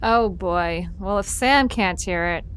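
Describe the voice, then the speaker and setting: A woman's voice: mid-range voice, takes it slowly, noisy background, lightly acted. A woman playing a practical, mildly exasperated young woman in an audio drama, casual and matter-of-fact. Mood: concerned, puzzled.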